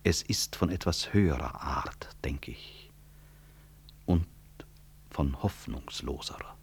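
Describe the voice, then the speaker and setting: Very deep, husky, grave professor. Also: male voice, lively intonation, whispered, heavily acted. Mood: solemn, earnest. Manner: measured, formal, gravely ironic. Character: proud, composed male physician and professor, unbelieving, earnest. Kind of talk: 1960s German radio play acting.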